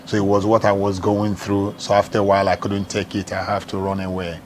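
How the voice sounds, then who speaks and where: A middle-aged man with a Nigerian accent recounts his past in a steady, earnest way. His voice sits low, and a faint hiss runs under it. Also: a little fast. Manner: earnest, steady storytelling in plain words. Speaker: evangelist and charity founder, interview guest. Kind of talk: telling his life story in an interview.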